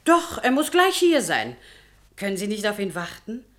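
An actress playing a devoted, fussy housekeeper in a radio drama, polite and naively chatty. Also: female voice, mid-range voice, sing-song, normal speed, fairly bright tone. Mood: chatty, proud.

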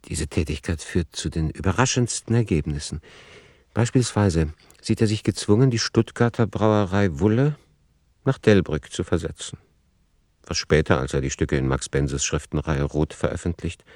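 Low, dry-sounding male narrator. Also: darkish tone, no room sound. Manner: formal, matter-of-fact, measured. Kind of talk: scripted documentary-like radio drama narration.

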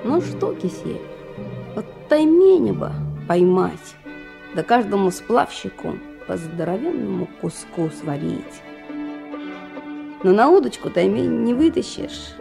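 An earnest little camp-cook boy, simple-hearted and sincere, with a creaky edge to the voice. It is a vintage radio play with music playing behind. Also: mid-range voice, sing-song, slow, full character voice. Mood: earnest, wistful. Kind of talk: Soviet radio drama acting.

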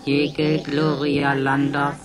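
A woman playing an impassive computer in a radio drama, announcing in a flat, clipped, mechanical way. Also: low voice, takes it slowly, crowd noise. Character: Seneca, an impassive computer running roll-call checks.

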